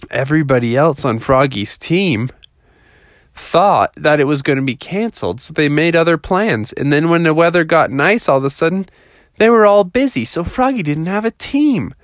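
A man's voice: on the high side, dry recording, clean studio sound. A man telling an improvised children's story in a casual, colloquial way.

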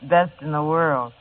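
An actress playing a wisecracking, flirtatious woman who works at a beauty salon, in 1950s radio drama style. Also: sits low. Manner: sassy, teasing. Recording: tinny old radio broadcast.

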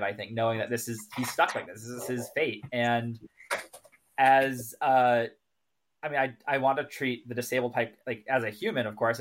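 Male podcast co-host, medium pitch, reflective analyst in casual conversation, rewording as he goes. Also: a bit bright.

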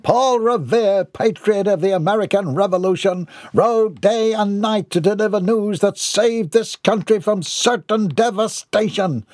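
Audiobook character: grandiose, easily outraged eccentric gentleman, high declamatory voice performed by a male narrator, close dry sound. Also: unhurried, hardly any pauses, no echo. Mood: grandiose urging. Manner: declamatory, grandiloquent, florid formal diction. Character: pompous, indignant, grandiose eccentric man ranting.

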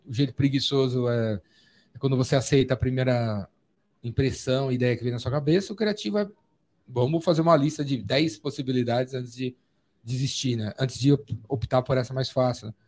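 A man's voice: mid-range voice, a little fast, a little mellow. A man with a Brazilian accent hosting a podcast, chatting informally with slang and frequent check-in tags. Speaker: podcast host. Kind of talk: casual chat, interviewing.

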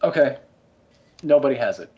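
A man's voice: mid-range voice, expressive, normal speed, warm tone. A man running a tabletop game as game master, describing scenes with many hesitant fillers. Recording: muffled lo-fi recording.

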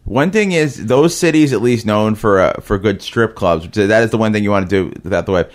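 Guy rambling on a podcast, kinda low voice, lots of likes and uhs. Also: fairly quick. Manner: loose, rambling, many fillers and restarts. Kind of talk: casual chat.

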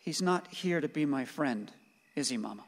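A troubled, grieving grown son with a fairly high man's voice. He sounds confused and plaintive in a radio play, with a long reverberant ring around him. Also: lively intonation, normal speed. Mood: confused, sad. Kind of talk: emotional stage-style radio drama acting. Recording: reverberant hall-like room.

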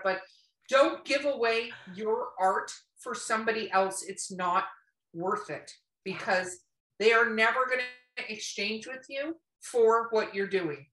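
A woman's voice: on the high side, pushed and bright. A woman in a casual podcast chat, talking bluntly and emphatically and swearing freely. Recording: roomy echo, distant from mic.

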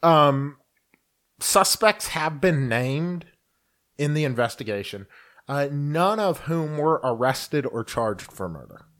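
A man co-hosting a podcast, telling a true-crime story in casual chat, slowly and haltingly with many fillers. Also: a little high, lively intonation, a bit bright. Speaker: podcast co-host.

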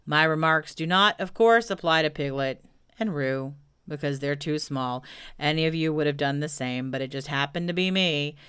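A woman's voice: deep voice, moderate pace, a bit bright, clean tone, no echo, studio quality. A woman voicing a gloomy, self-important male donkey in a children's storybook, grumbling through ponderous speeches. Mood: pompous.

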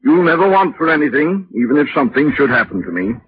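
Expressive, formal gentleman employer. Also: fairly high pitch, fast. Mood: awkward, troubled. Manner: hesitant, formal. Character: courteous, righteous wealthy husband and employer. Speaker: radio drama actor. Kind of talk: 1940s radio acting. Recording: muffled, noisy vintage radio broadcast.

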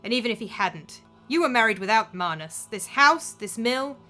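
A woman's voice: a little high, animated, normal speed, sharp tone, soft background music. A woman voicing a grief-stricken young woman who screams and sobs furious accusations. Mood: cold contempt.

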